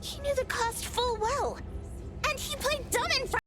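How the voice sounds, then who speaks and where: A woman's voice: very high-pitched, a little slow, over music. A voice actress playing a feisty, hot-headed young girl in a video game, brash, blunt and exclamatory. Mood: curious, surprised. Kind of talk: video game character acting.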